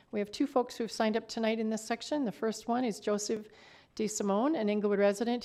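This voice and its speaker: A woman's voice: mid-range voice, a little mellow. A woman speaking as a mayor chairing a council meeting, courteous and procedural as she moves through formal business.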